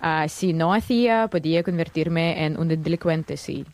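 A young Russian woman with a Russian accent, a guest journalist speaking careful, somewhat nervous Spanish with many pauses and fillers. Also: low voice, a little mellow, no room sound. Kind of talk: interview answers.

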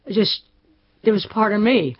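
A woman's voice: mid-range voice, expressive, moderate pace, breathy edge. Older lady, proud and emphatic, archive interview, kinda muffled. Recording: dull archive recording.

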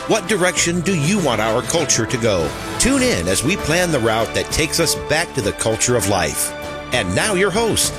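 A man reading a scripted show introduction in a polished announcer delivery. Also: a little high. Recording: announcer over clear music.

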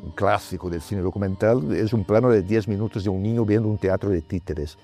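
A middle-aged man from Brazil talks through his filmmaking in a calm, reflective interview. His voice sits low and sounds dark and mellow. He muses aloud and often tags his sentences with a questioning "no?". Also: fairly quick, faint music behind. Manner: thoughtful, musing, with frequent tag questions. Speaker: documentary filmmaker.

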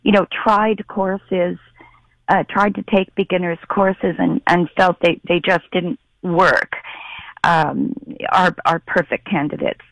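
A woman's voice: on the low side, fairly even intonation, takes it slowly, vocal fry, a bit bright, no room sound, low-quality recording. A woman speaking over the phone in a live radio interview, explaining a senior center program in an earnest way with some hesitation. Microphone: telephone.

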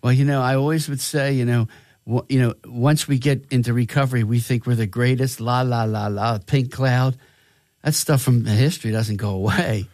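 A man co-hosting a recovery radio talk show, chatting casually and giving shout-outs to listeners. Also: medium pitch, steady tone, moderate pace, dry recording, studio quality.

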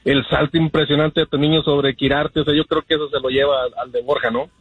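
Male radio caller, husky, slightly high voice, casual sports opinions, dull phone audio. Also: flat delivery, quick pace, no room sound. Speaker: first-time caller to sports radio show.

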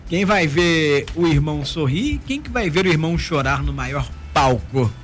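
A Brazilian man hosting a sports radio show, animated and laughing as he leads segments. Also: fairly high pitch, normal speed, faint music behind. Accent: Brazilian Portuguese. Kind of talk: casual sports radio chat.

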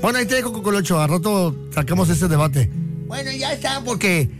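A man's voice: high voice, lively intonation, brisk, music in the background. A man with a Mexican accent hosting a call-in radio show, joking and teasing in slangy casual banter.

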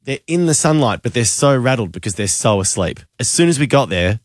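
A man's voice: medium pitch, no echo, clean studio sound. A man, co-hosting a radio show and telling a story in casual banter. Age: 30s. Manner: animated storyteller, quick asides. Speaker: radio co-host.

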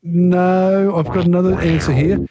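An Australian man hosts a call-in radio quiz, upbeat and chatty with his callers. His voice sits a little high for a man. The studio sound is close and dry. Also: steady tone, measured pace, warm tone, dry recording. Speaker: radio host.

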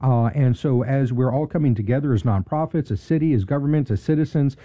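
A middle-aged American man answers interview questions in an earnest, conversational way. His voice is very warm and dark, and it sounds close and dry. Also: mid-range voice, a little fast, dry recording. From South Carolina, United States. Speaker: charity officer, interview guest.

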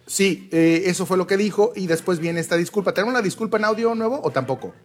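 Male sports host, higher voice. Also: fast talker, over loud music. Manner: hesitant, many fillers and self-corrections. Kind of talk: casual sports talk, reading news.

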